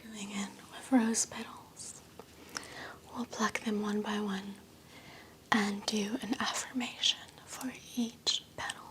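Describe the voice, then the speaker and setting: A woman doing a soft-spoken ASMR relaxation roleplay in a gentle, soothing, breathy whisper. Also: medium pitch, little pitch movement, slight background noise. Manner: slow, gentle, soothing affirming delivery. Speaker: ASMR host.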